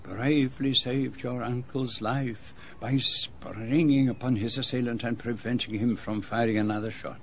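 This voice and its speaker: An actor playing a shrewd, courteous middle-aged gentleman detective with a French-speaking European accent, in formal, precise phrasing for radio drama. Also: medium pitch, fairly even intonation, a little slow, creaky, few pauses, a little hiss or hum. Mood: polite, grave. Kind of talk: radio drama dialogue and narration.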